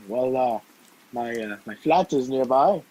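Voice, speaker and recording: A man acting a gallant, puzzled gentleman in a radio play, courteous and questioning as he takes charge. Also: medium pitch, expressive, slow, low-quality recording. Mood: puzzled, polite. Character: gallant, puzzled gentleman helping a frightened stranger. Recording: video call with faint background noise.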